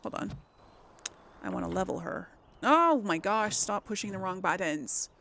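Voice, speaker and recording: A woman's voice: mid-range voice, expressive, moderate pace, vocal fry, a bit bright. A woman giving casual let's play commentary as host of a gaming series, chatty and thinking aloud as she plays. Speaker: host of a role-play video game series.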